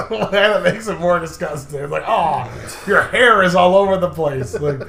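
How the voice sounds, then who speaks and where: A man's voice: sits high, measured pace, creaky. A man in casual, joking commentary banter who acts out bits of mock dialogue. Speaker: gaming commentary co-host. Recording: roomy echo, faint music. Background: faint music.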